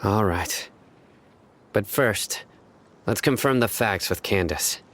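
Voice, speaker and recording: A man voicing a stern, vigilant enforcer in a video game, speaking in a measured, formal way. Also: fairly low pitch, a bit bright, slight background noise. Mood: composed. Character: stern, vigilant male enforcer, guarded and composed.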